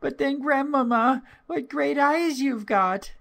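Man doing a high-pitched innocent little girl. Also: slow, creaky, a bit bright, exaggerated voice. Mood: astonished.

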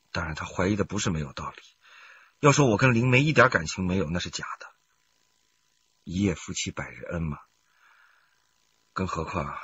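An actor playing a desperate, scheming husband in a radio crime drama, emotional in delivery. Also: male voice, deep voice, sharp tone, husky, lo-fi sound, heavily acted. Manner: emotional, pleading, swinging to outbursts. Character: desperate, scheming adult husband confessing to murder.

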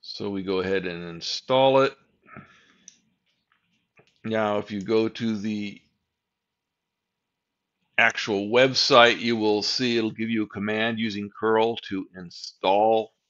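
An older man with a low voice narrates a software tutorial over his screen. He explains each step plainly and methodically. The recording sounds a bit dull and lo-fi. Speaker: tech tutorial host.

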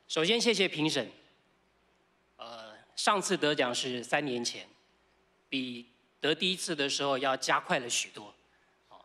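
A man's voice: medium pitch, bright, forceful tone. A man in his fifties with a Taiwanese accent, giving an award acceptance speech into a stand microphone on a large stage. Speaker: award winner.

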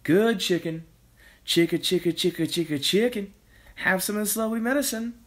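A man's voice: sits high, moderate pace, fairly bright tone, pitched above the performer's own voice. A man voicing an earnest, eager little farm boy in a children's storybook, speaking simply. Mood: coaxing.

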